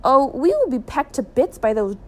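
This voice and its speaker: A woman's voice: sits high, wildly swooping pitch, normal speed, voice pushed up. A woman voicing a loving, fretful mother turned small bird in a story reading, fussy and exclamatory. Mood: fretful, indignant. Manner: exclamatory, fussing.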